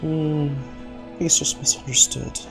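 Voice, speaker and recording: A man's voice: fairly high pitch, little pitch movement, unhurried, creaky, music in the background. A man reacting casually to a video game on a live stream, with offhand muttered asides.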